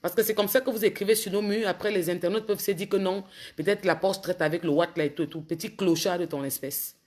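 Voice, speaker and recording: A woman with a cold, a bit hoarse, talking casually to viewers on a phone livestream and scolding commenters with indignation. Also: on the low side, steady tone, quick pace, a little mellow. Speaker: social media livestreamer.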